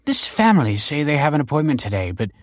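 A man voicing a brisk receptionist. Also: fairly high pitch, very animated, dry recording, clean studio sound, voice pushed up. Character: brisk front-desk receptionist.

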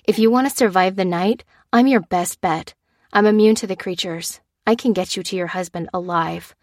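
A woman's voice: mid-range voice, normal speed, darkish tone, no room sound, clean studio sound, lightly acted. A woman voicing a fierce, determined female survivor in an audiobook, urgent and combative. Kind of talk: dramatised audiobook dialogue.

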